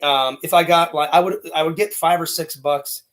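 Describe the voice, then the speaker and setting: A man in his late thirties, co-hosting a casual chat with a loud, energetic, rambling way of talking. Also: on the high side, normal speed. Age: 30s. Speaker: podcast co-host.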